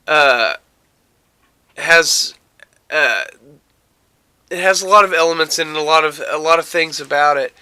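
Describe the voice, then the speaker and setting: A man with a bright, smooth voice, pitched a little high, chats casually as a podcast host. He rambles haltingly, circling back over phrases as he searches for the right words.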